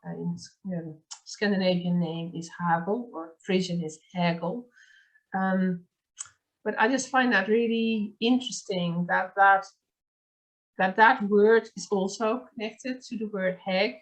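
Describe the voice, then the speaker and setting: A woman's voice: medium pitch, steady tone, unhurried, low-quality recording. A woman with a Dutch accent, a native Dutch speaker, hosting a casual conversation in an eager, chatty way with many fillers. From Netherlands. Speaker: host. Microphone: video call.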